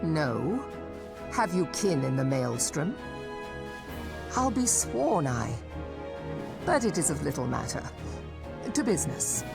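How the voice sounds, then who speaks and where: A woman's voice: low-pitched, sing-song, slow pace, creaky, long pauses, exaggerated voice. A woman playing a commanding yet gracious admiral in a fantasy game, with formal, authoritative, old-fashioned phrasing. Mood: probing, brisk. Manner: formal, authoritative, archaic diction. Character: commanding, gracious female admiral of a seafaring city. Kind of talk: fantasy game cutscene acting. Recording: music under the voice.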